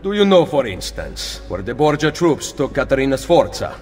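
A man's voice: medium pitch, normal speed, big-room sound, faint music behind, lightly acted. A man playing a wry, confident assassin. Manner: calm, wry, understated. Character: confident Italian male assassin, dry wit. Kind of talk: video game cutscene dialogue.

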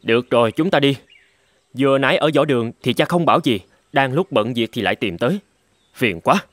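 A man voicing a brisk, impatient young samurai, the second son in a cartoon. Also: medium pitch, expressive, no echo, lightly acted. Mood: annoyed. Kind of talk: dubbed cartoon storytelling. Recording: loud music under the voice.

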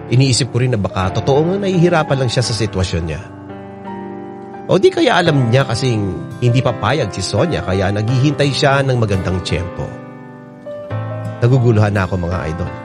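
A man with a mid-pitched voice narrates a listener's letter for a radio drama. He reads it as a scripted first-person story, with guitar music clearly playing behind him.

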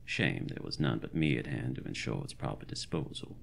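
A man's voice: a bass voice, expressive, fairly quick, creaky, a bit bright, exaggerated voice. A man playing a pompous, wry country doctor of 1919, dictating a diary in florid, old-fashioned language for a horror audio drama. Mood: self-satisfied, wry.

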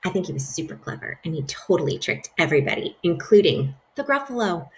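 A woman's voice: lowish voice, fast, a little hiss or hum, rough recording. A woman in her thirties reading a picture book aloud to children from her living room, speaking expressively into a laptop webcam. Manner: lively, expressive, addresses kids as friends. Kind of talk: storybook read-aloud for children. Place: home living room, indoors. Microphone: laptop webcam, close.

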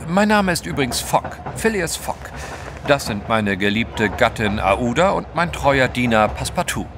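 An actor playing a debonair English gentleman adventurer, urbane, formal and dryly witty, in a German audio drama. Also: medium pitch, big pitch swings, normal speed, background noise. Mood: suave, wry.

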